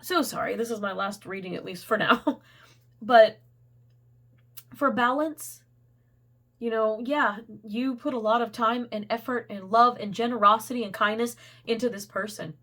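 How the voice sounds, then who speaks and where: A woman's voice: moderate pace, fairly bright tone. A woman giving a casual, rambling tarot reading, laughing often and losing her voice to a cold. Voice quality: getting squeaky. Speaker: tarot reader.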